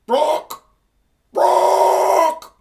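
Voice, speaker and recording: A man's voice: very high voice, fairly even intonation, very slow, a little mellow, full character voice. A man playing an irate, threatening boss in a radio play, sarcastic and coarse. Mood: furious shouting. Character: irate, threatening male boss hunting a missing underling.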